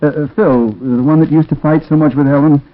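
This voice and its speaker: A man's voice: fairly high pitch, steady tone, brisk, no echo, lightly acted. An actor playing a troubled man who narrates an eerie story in 1940s radio drama style, reflective and conversational with hesitant asides. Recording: tinny, muffled 1940s radio recording.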